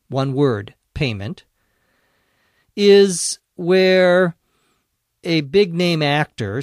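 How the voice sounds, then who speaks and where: A man hosting an English-learning podcast, speaking slowly and deliberately, spelling out words letter by letter and slipping in dry jokes. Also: a little high, dry recording. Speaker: English teacher and podcast host. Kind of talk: slow, clear ESL podcast explanation.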